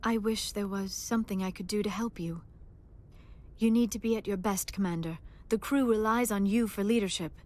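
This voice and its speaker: A woman playing a gentle, earnest crewmate in a video game, with careful, formal diction. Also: mid-range voice, normal speed, close to an everyday voice. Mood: concerned, tender.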